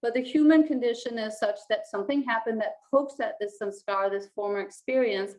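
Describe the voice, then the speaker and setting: A woman, teaching to camera from a small room in a calm, reflective way. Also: a little high, brisk, low-quality recording. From Denmark. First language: Danish. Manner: calm, reflective, gestures a lot with her hands. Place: small indoor room with a plain wall.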